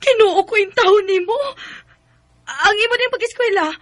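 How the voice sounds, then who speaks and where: A young Bisaya Filipino single mother speaks in a high, earnest voice on a dry recording. She is tender, cautious and emotive, as in radio drama. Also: normal speed, no echo. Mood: worried.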